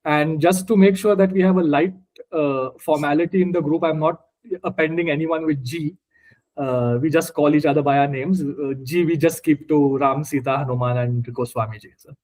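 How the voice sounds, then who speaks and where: A man's voice: fairly high pitch, fairly even intonation, moderate pace, a little mellow, low-quality recording. A man from India with an Indian accent and Marathi as his first language, teaching a scripture study group informally, explaining word by word. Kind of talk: informal explanatory lesson with questions.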